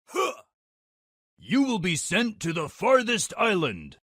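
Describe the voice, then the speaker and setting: An angry, commanding storybook king, played by a man, high and husky. He speaks slowly with huge swings in pitch, in a dry recording. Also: huge pitch swings, fairly bright tone, dry recording, heavily acted.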